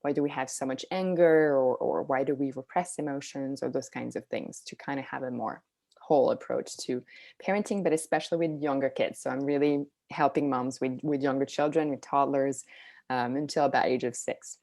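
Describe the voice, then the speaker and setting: A woman's voice: very deep voice, brisk, creaky, a little mellow. A woman from Switzerland, an interview guest and parenting coach, explains things casually and informally, peppering her talk with "like" and "you know".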